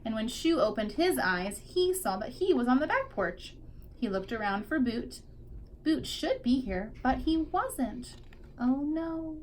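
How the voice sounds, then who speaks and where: A woman's voice: high voice, expressive, unhurried, slight background noise. A woman teacher reading a picture book aloud expressively to young children, drawing out key words for emphasis.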